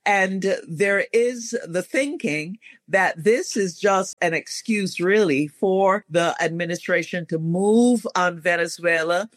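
High-voiced male talk show host. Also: takes it slowly, few pauses, fairly bright tone, no echo. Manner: earnest, conversational commentary. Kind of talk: current-affairs talk show hosting.

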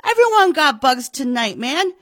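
A woman's voice: high-pitched, big pitch swings, normal speed, fairly bright tone, pitched above the performer's own voice. Woman playing a brusque police sergeant. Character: gruff, overworked police sergeant, man.

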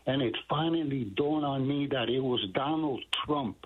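Older man, from Puerto Rico, radio caller, husky, phone-line sound, earnest, rambling. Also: medium pitch, moderate pace. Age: 60s. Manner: earnest, rambling storytelling with many fillers. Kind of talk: phone-in call.

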